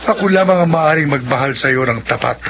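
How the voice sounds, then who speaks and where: A man's voice: medium pitch, quick pace, vocal fry, a bit bright. A man playing an obsessive, lovelorn aswang villain in a Filipino horror radio drama, melodramatic and insistent, with a Filipino accent. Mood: possessive wooing. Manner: melodramatic, insistent, pleading and gloating. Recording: muffled, noisy radio drama recording.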